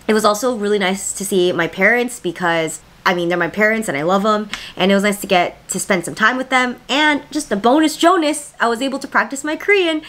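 A woman's voice: mid-range voice, fairly bright tone. A woman in her twenties talking casually to camera in a home vlog, upbeat and chatty with lots of filler words. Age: 20s. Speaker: vlogger. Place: small home studio room indoors.

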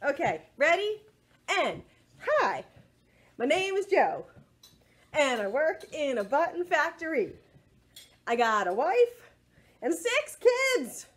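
A woman's voice: sits high, big pitch swings, slow, pauses often. A middle-aged woman leading a children's storytime in a playful, sing-song way, recorded indoors on a device a little distance away. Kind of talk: children's storytime read-aloud and action songs.

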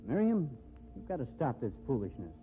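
An actor playing a stern, jealous, blunt friend, lecturing forcefully in 1950s radio style. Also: male voice, mid-range voice, wildly swooping pitch, brisk, soft background music. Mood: scornful warning. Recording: tinny, noisy 1950s radio recording.

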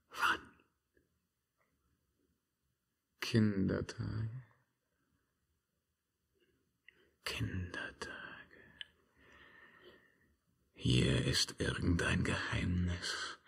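Brooding emperor, slow and husky. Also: male voice, lowish voice, exaggerated voice. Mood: haunted wonder. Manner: declamatory, intense verse monologue with hushed drops. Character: tormented, brooding emperor, proud and haunted by memories. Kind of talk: 1950s radio drama acting.

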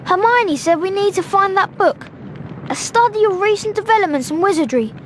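A performer playing an eager, excitable young schoolboy wizard in a video game. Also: very high voice, normal speed, slight background noise. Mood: excited, eager. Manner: eager, wide-eyed.

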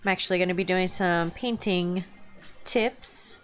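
A woman's voice: fairly low pitch, measured pace, faint music behind. A woman in her thirties co-hosting a live painting stream, chatting casually and laughing often between remarks. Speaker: livestream co-host and miniature painter. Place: home hobby room, indoors.

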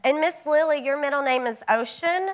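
A woman's voice: sits high, a little fast. A woman co-hosting a TV talk show, asking guests questions and gushing with affirming interjections. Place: TV studio with audience.

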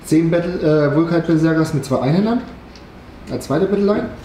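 A man in his thirties co-hosting, welcoming viewers and briskly reading out an army list. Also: fairly high pitch, warm tone. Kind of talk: casual chat and listing game units. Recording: echoey room with faint hiss.

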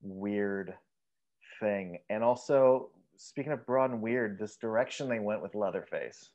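A man in his thirties chatting casually as a co-host about films, halting and sweary, on a video call from home. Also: on the low side, darkish tone, dull audio. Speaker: podcast co-host discussing horror films. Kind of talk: casual chat.

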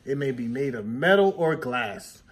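A man's voice: mid-range voice, animated, moderate pace. A man in his thirties reading a picture book aloud to young children, stressing key words and putting questions to them. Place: indoor classroom.

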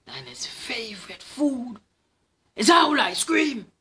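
A man with a Trinidadian accent voicing a small, polite, bluffing mouse in a storybook, with teasing hushed phrases. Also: extremely high pitch, big pitch swings, slow, slight background noise, pitched above the performer's own voice, exaggerated voice. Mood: menacing bluff.

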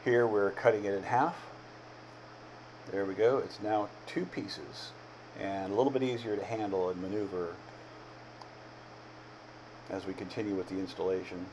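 A man in his fifties giving a casual, plain-spoken, unhurried voice-over as an amateur builder narrating his project. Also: lowish voice, a little mellow, a little hiss or hum, rough recording. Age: 50s.

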